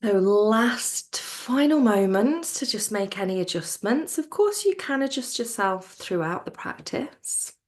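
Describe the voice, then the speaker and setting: A woman teaching yoga nidra in a soothing, gentle manner, her soft voice trailing off toward a near-whisper as she guides relaxation. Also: on the high side, big pitch swings. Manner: soothing, gentle, unhurried with pauses.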